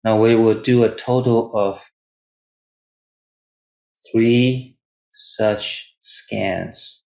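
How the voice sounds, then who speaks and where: A man guiding meditation as a teacher, speaking in short, measured phrases with long pauses between them. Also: on the low side, steady tone, creaky, a little mellow, poor audio. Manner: refers to himself in third person as teacher. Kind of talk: guided meditation instruction.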